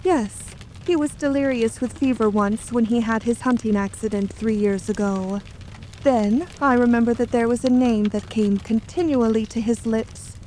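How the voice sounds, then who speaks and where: A woman's voice: on the high side, darkish tone, slight background noise, close to an everyday voice, poor audio. A woman playing a composed, guarded English wife of a murdered man in an audio drama, speaking earnestly and formally. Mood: grave, guarded.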